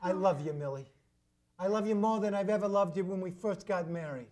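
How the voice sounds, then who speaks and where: A man's voice: high-pitched, fairly quick, a little mellow, lightly acted. A man doing an earnest 1940s husband. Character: earnest 1940s husband trying to save his marriage. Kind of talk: 1940s radio acting.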